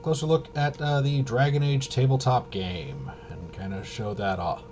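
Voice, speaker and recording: A man chatting casually as a livestream host, halting, often interrupting himself and trailing off. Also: mid-range voice, darkish tone, a little hiss or hum. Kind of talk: casual rambling livestream chat.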